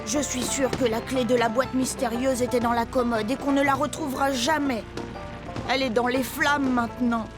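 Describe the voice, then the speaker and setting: A woman's voice: sits high, fairly even intonation, normal speed, over music, close to an everyday voice. A woman voicing a scheming, grumbling female character in a French cartoon dub, with dramatic delivery. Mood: frustrated, scheming.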